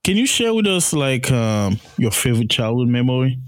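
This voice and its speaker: A man's voice: mid-range voice, big pitch swings, dry recording, studio quality. A man hosting a podcast interview, encouraging and often restarting his sentences. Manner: warm encouraging, halting restarts. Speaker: podcast host.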